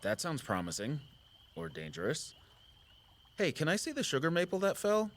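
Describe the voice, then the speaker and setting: An actor playing a gentle, sentimental middle-aged father and conservationist in an audio drama, talking in a thoughtful, considerate way. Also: fairly high pitch, big pitch swings, normal speed, a little hiss or hum. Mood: thoughtful, cautious. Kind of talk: naturalistic audio drama acting.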